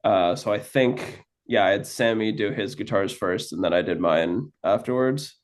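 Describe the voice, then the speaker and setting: A man's voice: on the low side, normal speed. A man, a band's guitarist, answering interview questions in a laid-back, loose way with casual swearing.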